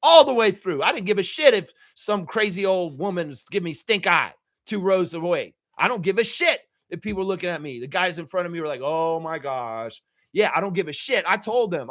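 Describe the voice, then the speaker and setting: A man hosting a fan reaction show, raspy from yelling at a game, ranting emphatically with swearing and repeated phrases. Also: high-pitched. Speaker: fan channel host. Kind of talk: fired-up fan reaction rant.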